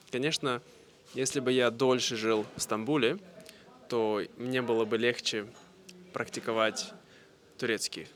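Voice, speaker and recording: A man from Russia vlogging casually to camera, speaking simply and clearly for language learners with easy fillers. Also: medium pitch, a bit bright. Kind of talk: casual vlog talk to camera.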